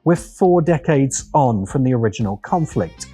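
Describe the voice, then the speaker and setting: A man narrating a scripted video essay as host, in a deliberate, wry, opinionated manner with dramatic pauses and emphasis. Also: medium pitch, animated, mellow, slightly rough, faint music behind.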